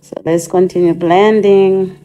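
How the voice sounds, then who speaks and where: Chatty woman, slightly hoarse, low voice. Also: fairly even intonation, unhurried, warm tone, dull audio. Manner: chatty, thinking aloud with frequent ums. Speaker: host of a DIY channel. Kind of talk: casual cooking demonstration. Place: home kitchen.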